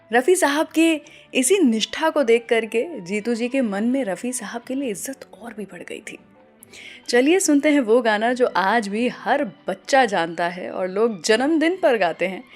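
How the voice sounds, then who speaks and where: A woman hosting a radio show, telling scripted anecdotes between songs in an animated storytelling way. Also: on the high side. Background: music behind the voice.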